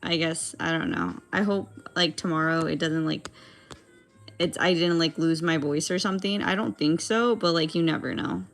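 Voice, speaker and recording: A young woman with a gravelly, raspy voice, her throat dry and strained. She chats casually and rambles with plenty of fillers while working on a drawing, and faint music plays behind her. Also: deep voice, animated, normal speed. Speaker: digital artist streamer.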